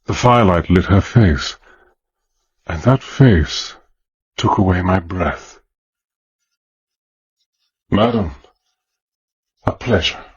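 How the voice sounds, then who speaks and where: Husky British gentleman adventurer. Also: sits low, slow pace, long pauses, dull audio, heavily acted. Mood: awed narration. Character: gentlemanly British war hero, narrator of a spy thriller. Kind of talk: radio drama acting and narration.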